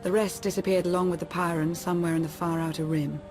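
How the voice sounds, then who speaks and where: A composed Sith advisor played by a woman gives a grave war briefing in a video game. Her voice sits low, and her delivery is formal and measured. Music plays prominently underneath. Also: flat delivery, close to an everyday voice. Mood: matter-of-fact. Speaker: military and intelligence advisor. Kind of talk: scripted video game cutscene dialogue.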